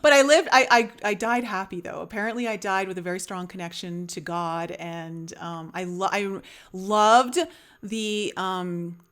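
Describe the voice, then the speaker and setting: A woman's voice: fairly low pitch, fairly bright tone. Rambling woman podcast host. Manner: rambling, lots of fillers and restarts. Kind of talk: casual interview chat.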